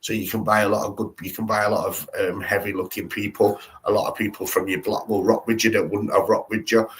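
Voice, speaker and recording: A man's voice: fairly low pitch, level tone, fast, rough recording. A man hosting a live stream, chatting casually in slangy, rambling talk full of restarts.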